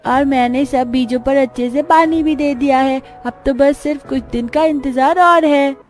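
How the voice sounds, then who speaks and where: A woman playing a hardworking, expressive village daughter in a moral-story cartoon. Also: sits high, moderate pace, lightly acted. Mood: hopeful. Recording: music behind the voice.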